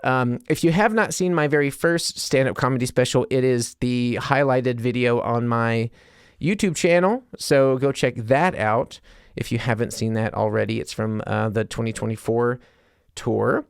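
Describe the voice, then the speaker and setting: A man's voice: medium pitch, normal speed, creaky, clean tone, no echo, clean studio sound. A man hosting a solo podcast in a casual, rambling, conversational and self-deprecating way, like a stand-up comic chatting to listeners. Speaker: podcast host and stand-up comedian.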